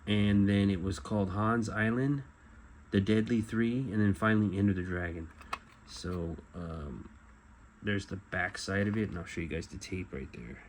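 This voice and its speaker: A middle-aged man giving a casual show-and-tell as a collector, talking in a halting, rambling way and often correcting himself. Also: lowish voice. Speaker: collector presenting memorabilia. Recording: clear background noise.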